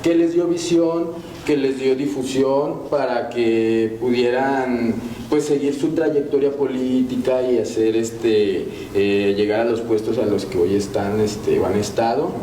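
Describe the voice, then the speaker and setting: A panelist feels his way through his answer, pausing and filling gaps as he goes. His voice is mellow and relaxed. The studio adds echo, with a faint hiss behind. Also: mid-range voice. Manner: hesitant, many fillers. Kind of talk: debate answer. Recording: echoey studio with faint noise.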